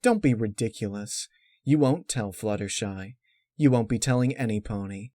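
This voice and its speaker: A man voicing a scheming young female pony, smug and taunting in a measured way, in a dark storybook reading. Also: fairly low pitch, expressive, no room sound, clean studio sound, lightly acted. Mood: mocking.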